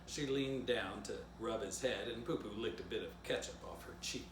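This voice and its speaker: A man's voice: fairly low pitch, moderate pace. A man reading a children's story aloud as its author, with playful asides spoken directly to young listeners. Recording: roomy echo, background noise.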